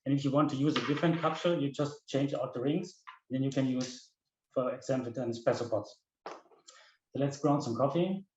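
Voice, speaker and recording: A man from Germany with a German accent, giving a rehearsed, earnest product pitch and demonstrating a device. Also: fairly quick, heavy background noise. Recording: roomy, dull lo-fi sound.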